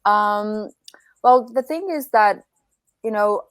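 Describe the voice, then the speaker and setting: A woman's voice: medium pitch, slow, no echo. A woman from Croatia, a guest on a podcast, who gives thoughtful answers filled with "like" fillers. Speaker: startup founder guest. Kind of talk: casual interview answers.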